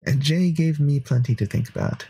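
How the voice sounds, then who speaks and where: A man's voice: on the high side, expressive, normal speed, creaky, mellow, husky, exaggerated voice. A man voicing an earnest, introspective wandering hero in a visual novel, musing over dreams. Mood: pensive. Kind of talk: visual novel narration and dialogue.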